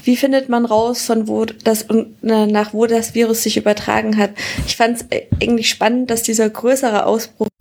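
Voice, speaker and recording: A woman's voice: fairly high pitch, level tone, normal speed, vocal fry, few pauses, darkish tone. A woman, a biologist co-hosting a podcast, talking in a rambling way with many fillers and dry humor. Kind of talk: casual science chat.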